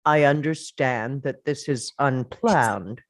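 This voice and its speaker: A woman's voice: very deep voice, slow, heavily acted. A woman acting a firm, clinical doctor in a comedy drama table read, matter-of-fact. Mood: clinical, awkward.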